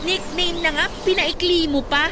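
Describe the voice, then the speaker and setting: A woman's voice: extremely high pitch, fairly even intonation, unhurried, background noise. A woman playing a feisty, easily exasperated young woman in a radio romance, snappy and scolding. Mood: annoyed, scolding. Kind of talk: radio romance drama acting. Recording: loud music under the dialogue. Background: loud music.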